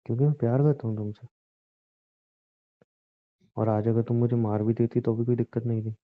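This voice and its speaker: A man's voice: medium pitch, level tone, brisk, no room sound, natural voice. A man acting as a devoted, reassuring young husband in a conversational romantic audio drama, earnest with a touch of teasing bossiness. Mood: tender, reassuring. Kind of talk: romantic phone-call style audio drama in Hindi. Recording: muffled, narrow phone-like audio.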